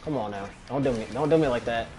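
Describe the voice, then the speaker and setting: A man's voice: medium pitch, fast talker, music in the background. A man casually commentating on a video game as he plays, grumbling and swearing. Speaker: gamer commentating on own play.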